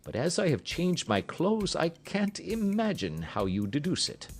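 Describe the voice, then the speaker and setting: A man voicing a mild, bemused gentleman doctor in an audiobook, earnest and gently protesting. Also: fairly high pitch, wildly swooping pitch, measured pace, faint music behind, voice pushed up, full character voice. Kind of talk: audiobook character reading.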